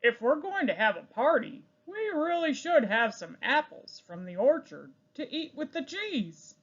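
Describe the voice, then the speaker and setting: A woman voicing a slow, plain-spoken farmer father in a read-aloud story, drawling and matter-of-fact. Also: high-pitched, big pitch swings, voice pushed up, exaggerated voice. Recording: slightly dull recording.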